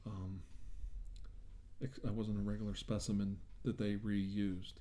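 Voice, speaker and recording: A man doing an improvised, soft-spoken ASMR role-play as a doctor, talking in a reassuring, halting way. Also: fairly low pitch, flat delivery. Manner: reassuring, hesitant, halting delivery. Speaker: ASMR role-player acting as a government doctor.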